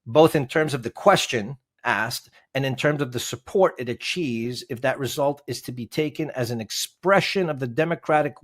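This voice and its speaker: A man, a podcast guest, explaining legal text in conversation, emphatic and quizzing the host with rhetorical questions. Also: mid-range voice.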